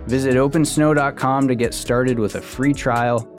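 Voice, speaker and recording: A man reading scripted sponsor ads in an even, promotional delivery. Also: mid-range voice, moderate pace. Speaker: sponsor ad reader. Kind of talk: scripted ad read. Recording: ad read over soft guitar music.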